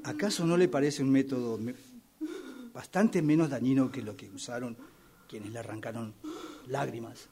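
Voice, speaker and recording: A man plays a quietly menacing male character in a radio play. He is insistent and formal, soothing while he threatens, and always justifying himself. His voice sits at an ordinary middle pitch. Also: normal speed, crowd noise, natural voice. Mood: indignant, self-justifying. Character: menacing yet soothing man coaxing tears from a woman. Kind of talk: radio drama workshop acting.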